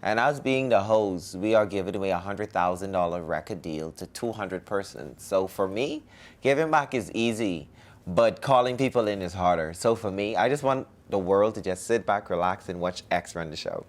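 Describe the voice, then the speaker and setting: A man's voice: low-pitched, lively intonation, normal speed. A young man from the Bahamas, a musician answering interview questions in a cocky, self-promoting way.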